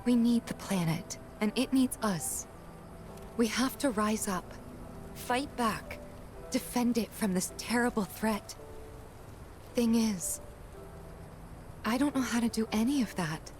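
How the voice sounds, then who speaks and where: A woman playing an earnest, gentle, hesitant young heroine in a video game cutscene, over music. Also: a little high. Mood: pleading, resolute. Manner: halting, earnest.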